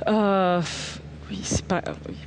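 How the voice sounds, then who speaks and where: A woman's voice: medium pitch, little pitch movement, slow pace, background noise. Hesitant Egyptian opera singer, a woman. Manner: hesitant, warm, laughs easily. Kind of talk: live interview answers. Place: outdoors at a live broadcast.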